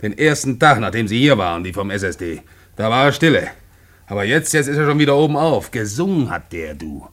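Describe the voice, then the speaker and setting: A man's voice: on the low side, very animated, fairly quick, fairly bright tone. A man playing a gossipy, snooping husband in a German radio play, colloquial and insinuating. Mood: gloating, conspiratorial. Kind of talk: 1950s-set German radio play acting.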